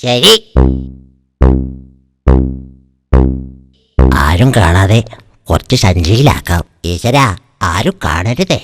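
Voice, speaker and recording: A man's voice: fairly low pitch, big pitch swings, measured pace, a bit bright, full character voice. A man voicing a wheedling, sneaky freeloading customer in a cartoon. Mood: furtive. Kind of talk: cartoon voice acting.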